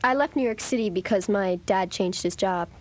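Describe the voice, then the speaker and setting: A woman's voice: mid-range voice, moderate pace, lightly acted. A woman playing a polite, slightly guarded American schoolgirl in a children's audio drama, casual in delivery.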